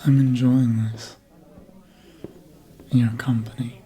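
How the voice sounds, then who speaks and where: An actor playing a seductive, manipulative vampire in an ASMR roleplay, speaking in a breathy, often whispered voice with a deliberate, hypnotic manner, close to the mic. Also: mid-range voice, little pitch movement, mellow, slight background noise, lightly acted. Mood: smug, hypnotic. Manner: slow, deliberate, hypnotic, with long pauses. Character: seductive vampire, manipulative mind-controller, calm and menacing. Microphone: close ASMR microphone.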